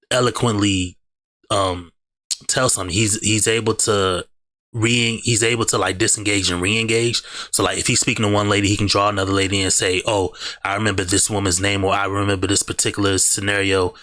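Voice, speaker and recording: Blunt man with a bright, dry voice. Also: fairly low pitch, no echo. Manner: blunt, slangy, repeats "bruh". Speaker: reaction host. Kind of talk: casual commentary over clips.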